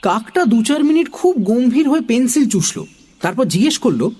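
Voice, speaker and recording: A man narrating a children's story in an expressive, theatrical way, also speaking as the young boy in it. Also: extremely high pitch, moderate pace, breathy edge. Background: music.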